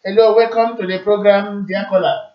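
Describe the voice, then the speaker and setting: Male Igbo Nigerian talk host, high voice, formal announcing delivery, heard through a distant, muffled stream. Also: level tone, normal speed. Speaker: radio call-in show host. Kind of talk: live broadcast announcing and answering callers. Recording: echoey, distant, muffled internet radio stream.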